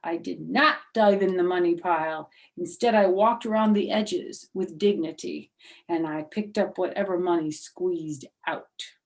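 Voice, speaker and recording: A woman's voice: fairly low pitch, measured pace. A woman voicing a bossy, self-righteous little schoolgirl who scolds emphatically. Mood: smug.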